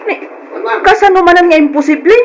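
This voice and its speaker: An actress with an Ilocano accent playing a nosy, excitable, insistent townswoman in a radio drama, animated and emphatic. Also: extremely high pitch, a little fast, crowd noise. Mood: excited, insistent.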